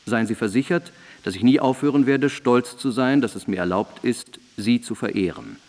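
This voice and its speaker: A male actor plays a devoted student addressing his master in a radio drama. His medium-pitched voice is formal and clearly phrased, with old-fashioned diction. It rings in a reverberant space. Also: moderate pace, a little mellow, natural voice. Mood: concerned, reverent. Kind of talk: radio drama document reading. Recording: reverberant studio, digitized cassette radio play.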